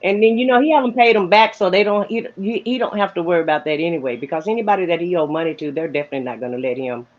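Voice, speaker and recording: A woman's voice: on the low side, very fast, hardly any pauses. A middle-aged American woman talking bluntly and saltily in a casual panel, over a dull online connection.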